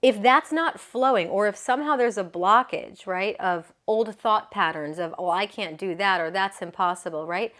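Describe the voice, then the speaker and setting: Woman yoga coach, mid-range voice, warm earnest chatter, laughs easily, keeps checking in with her listener. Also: mid-range voice, normal speed, few pauses. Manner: chatty and earnest, often asking "right?". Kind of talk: motivational talk to camera.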